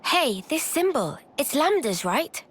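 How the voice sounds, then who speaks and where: A woman's voice: sits high, big pitch swings, unhurried, fairly bright tone, exaggerated voice. An actress playing an observant, cautious young woman soldier in a video game cutscene. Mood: curious.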